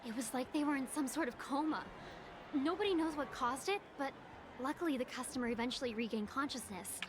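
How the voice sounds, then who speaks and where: A woman voicing a bubbly high-voiced singer. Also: fairly quick, noisy background, lightly acted. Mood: chatty. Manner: cheerful, chatty, gushing. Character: bubbly young female singer. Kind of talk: video game voice acting.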